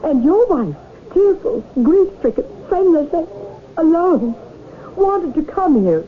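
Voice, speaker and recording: Insistent, high-voiced anxious wife. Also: female voice, sing-song, takes it slowly, exaggerated voice. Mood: pleading, insistent. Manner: pleading, articulate, dramatic. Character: earnest, insistent, anxious wife of trapped man. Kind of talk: 1970s radio drama acting. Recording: tinny 1970s radio broadcast.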